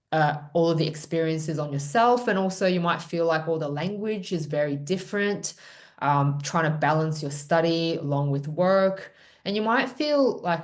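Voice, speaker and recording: A woman presenting a student orientation webinar, explaining in a reassuring way with the odd filler. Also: low-pitched. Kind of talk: pre-departure orientation webinar talk.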